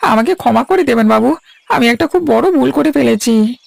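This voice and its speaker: A woman voicing a humble, anxious servant goatherd in a cartoon story, pleading and deferential in a gravelly voice. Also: fairly high pitch, expressive, moderate pace, darkish tone, dry recording, exaggerated voice. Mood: anxious, apologetic. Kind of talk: animated story acting.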